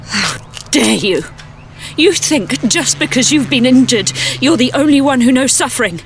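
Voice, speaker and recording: A woman's voice: sits high, animated, normal speed. An actress voicing an earnest, proud young woman, spirited and indignant, in an audio drama. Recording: music behind the voice.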